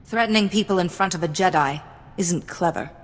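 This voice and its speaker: A woman's voice: lowish voice, fairly quick, close to an everyday voice. A woman playing a cool, assertive young Jedi in a video game, with a dry, sardonic manner. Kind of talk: cinematic video game dialogue. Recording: hall reverb, faint music behind.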